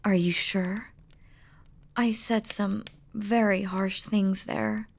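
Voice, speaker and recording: A woman speaking in a concerned, apologetic manner in a gentle, intimate scripted roleplay. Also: mid-range voice, fairly even intonation, slow pace, gravelly. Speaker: voice actress.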